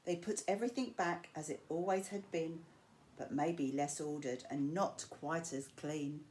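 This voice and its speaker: Unhurried woman reading a children's story. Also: low-pitched, darkish tone. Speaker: storyteller reading to school pupils. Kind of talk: picture-book read-aloud in rhyming verse. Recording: clear background noise.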